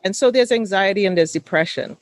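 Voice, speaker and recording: A woman's voice: mid-range voice, brisk, no echo. Passionate woman with a Trinidadian lilt. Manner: passionate, emphatic, preacher-like cadence. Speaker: panelist, mental fitness coach. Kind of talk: webinar panel discussion.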